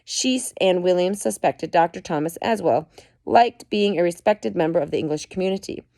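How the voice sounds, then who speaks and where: A woman's voice: lowish voice, normal speed, gravelly, warm tone, dry recording, clean studio sound. A mother reading a book aloud to her children at home, stopping often to comment and explain. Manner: chatty, stops to comment and explain to listeners.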